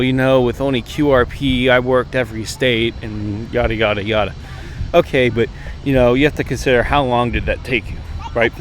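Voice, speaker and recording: An American man in his thirties talks casually and directly to his viewers, offering tips in a conversational, slightly hedging way. His voice sits at an average male pitch. It is recorded outdoors on a camera at arm's length, with faint urban and vehicle noise behind him. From United States. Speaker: amateur radio vlogger. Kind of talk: casual instructional vlog to camera. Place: outdoors, open park path beside water. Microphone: camera held at arm's length. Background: jets, vehicles, urban outdoor noise.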